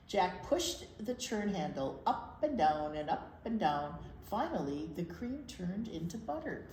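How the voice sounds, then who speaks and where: Storytime lady, slow and super expressive, reading to little kids in an echoey room. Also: medium pitch. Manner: explains simply, asks young listeners questions. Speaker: children's library storytime reader. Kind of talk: expressive picture-book read-aloud with asides to children. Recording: roomy echo with clear background noise.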